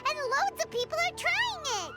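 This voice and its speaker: A woman voicing a tiny, childlike fairy companion in a video game, squeaky, excitable and whiny in an exclaiming way. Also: a little slow, loud music behind the voice. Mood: doubtful.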